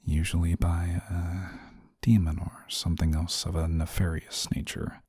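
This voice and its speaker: Man acting as an exasperated low-voiced guardian angel. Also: little pitch movement, measured pace, a little mellow, husky, dry recording, studio quality. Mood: grave, explaining. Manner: weary, scolding, formal wording, sighs often. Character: exasperated, rule-bound male guardian angel, secretly soft-hearted. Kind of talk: scripted audio roleplay addressed to the listener.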